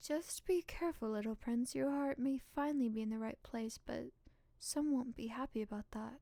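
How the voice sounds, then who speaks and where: A woman voicing a stern, commanding woman of the royal household in a visual novel, formal and measured. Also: on the high side, dark tone, no room sound, close to an everyday voice. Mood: stern warning.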